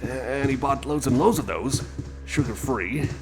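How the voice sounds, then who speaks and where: A man's voice: medium pitch, moderate pace, over music, natural voice. A man playing a friendly, anxious cyborg companion in an ASMR roleplay, rambling hesitantly and repeating himself as he chats to the listener. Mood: chatty, musing.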